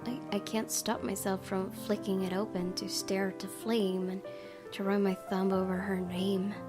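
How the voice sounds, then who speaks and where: A woman reading a visual novel aloud as a let's play host, in measured, earnest dramatic narration. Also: medium pitch, little pitch movement, over music.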